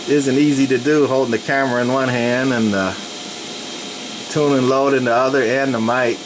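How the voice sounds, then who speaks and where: A man's voice: medium pitch, normal speed. A man giving a casual, plain-spoken hands-on equipment demonstration, narrating as he works. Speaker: radio hobbyist presenter. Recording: noisy room with steady equipment hum.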